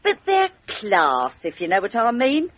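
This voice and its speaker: A gossipy housewife in a radio mystery, her husky voice leaping up and down. She is chatty, opinionated and eager, yet respectful to her betters. The sound is slightly muffled. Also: fairly high pitch, wildly swooping pitch, measured pace, exaggerated voice. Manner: chatty, emphatic, deferential, rambling. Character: gossipy, respectable working-class chauffeur's wife, eager and opinionated. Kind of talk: radio drama acting. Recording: dull, band-limited radio drama recording.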